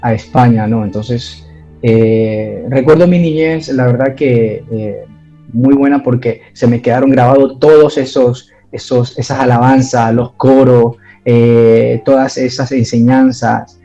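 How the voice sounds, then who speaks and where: Gravelly, dark-voiced man. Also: mid-range voice, fairly even intonation, measured pace, highs cut off, soft background music. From Ecuador. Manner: hesitant, many fillers and restarts. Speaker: guest giving testimony. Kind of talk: personal testimony interview.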